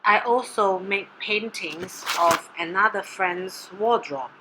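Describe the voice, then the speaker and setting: A woman whose first language is Mandarin, reading her art research presentation in a measured, deliberate way. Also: medium pitch, noisy background. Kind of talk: read-aloud research presentation.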